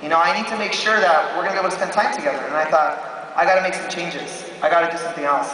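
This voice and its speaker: Fast, high-pitched man in an echoing hall. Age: late 20s. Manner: energetic, chatty, checks in with the audience. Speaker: motivational speaker at a company event. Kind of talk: informal motivational stage talk with storytelling. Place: large event hall with live audience. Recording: echoey hall, distant mic, crowd noise.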